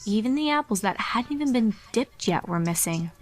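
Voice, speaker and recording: A woman's voice: medium pitch, animated, normal speed. A woman reading a horror fan fiction story aloud as its narrator.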